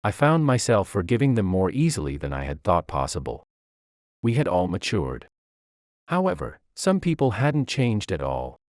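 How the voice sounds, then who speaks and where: A man's voice: low voice, wildly swooping pitch, moderate pace, a little mellow, no echo, studio quality. A man reading a scripted first-person story as its narrator.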